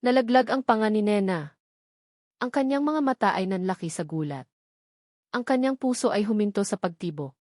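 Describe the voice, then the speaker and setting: A woman's voice: mid-range voice, animated, moderate pace, long pauses, a little mellow, no room sound. Filipino woman narrating a story, dry sound. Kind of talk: scripted romance story narration.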